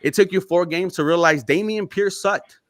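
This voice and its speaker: A man on a casual sports podcast, breaking down his team's roster with excited, rapid-fire analysis. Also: high-pitched, no room sound, studio quality. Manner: excited, fast-flowing football analysis. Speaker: podcast guest.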